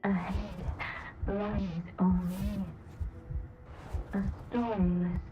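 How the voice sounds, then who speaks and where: A woman voicing a solemn storyteller in a video game, narrating in measured phrases with weighty pauses. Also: on the low side, fairly even intonation, lo-fi sound, over music. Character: solemn, unhurried female storyteller of a fairy tale.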